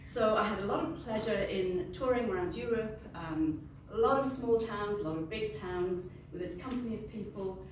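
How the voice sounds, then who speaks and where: A woman's voice: mid-range voice, normal speed, few pauses. Welsh woman, native welsh speaker, conversational talk, faint mic, noisy background. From Wales. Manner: conversational, unscripted, friendly. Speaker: industry panel speaker. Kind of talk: informal presentation to a group. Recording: very echoey room, distant mic, ambient noise.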